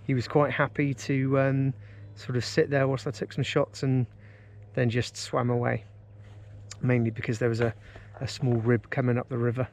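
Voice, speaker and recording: Gravelly-voiced man vlogging from a kayak. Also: mid-range voice, normal speed. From England. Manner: enthusiastic, relaxed, with many fillers. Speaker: outdoors vlogger. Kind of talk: casual vlog narration. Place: out of doors on a river, in a kayak. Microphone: action camera mounted on the kayak.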